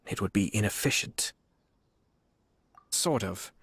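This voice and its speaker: An actor voicing a composed, courteous young nobleman in a video game, speaking in a measured, formal and polite way. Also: fairly low pitch, vocal fry, a bit bright, breathy edge, dry recording, full character voice. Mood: calm, deliberating. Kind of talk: anime-style video game dialogue.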